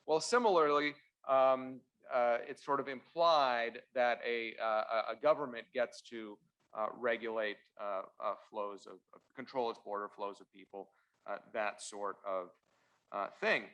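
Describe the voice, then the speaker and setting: A man raised in Canada gives his opening remarks in a university debate, speaking as a constitutional lawyer. He stops often on "uh" and repeats his words as he goes, and he slips in dry, wry asides. His voice sits at an ordinary middle pitch for a man. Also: steady tone, measured pace, fairly bright tone, smooth, dull audio. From born in Russia, raised in central Ontario, Canada. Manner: hesitant, heavy with "uh" fillers and restarts, dry wry asides.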